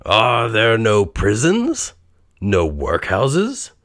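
A man voicing a stern spirit in a storybook, speaking slowly and gravely with warning. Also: fairly low pitch, wildly swooping pitch, fairly bright tone, no echo, studio quality, heavily acted.

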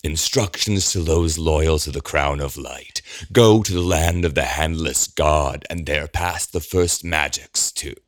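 A man voicing a manic, drunken old male prophet with a gravelly, slurred voice. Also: a bass voice, unhurried, few pauses, dry recording, studio quality. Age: older.

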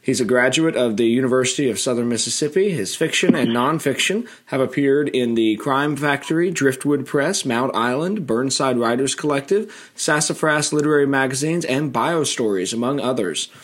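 A man's voice: mid-range voice, moderate pace, few pauses. Chatty middle-aged male podcast host. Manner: chatty, with fillers and restarts. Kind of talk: educational interview.

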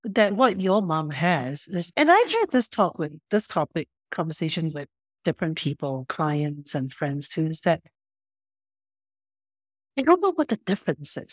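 Woman host with a lowish voice. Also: no room sound. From Singapore. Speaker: podcast host. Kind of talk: casual conversation.

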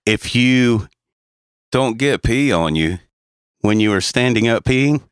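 A man's voice: medium pitch, animated, slow pace, smooth, no room sound, clean studio sound. A man co-hosting a casual podcast, crude and joking. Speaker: podcast co-host.

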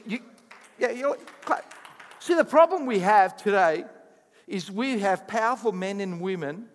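High-voiced emphatic preacher man in a hall. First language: not English. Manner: emphatic preaching with rising bursts and asides. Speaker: pastor. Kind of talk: sermon.

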